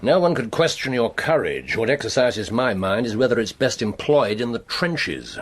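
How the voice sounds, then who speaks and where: A weary but shrewd older government official, measured and persuasive. His voice is husky, with lively rises and falls. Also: medium pitch. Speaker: senior official.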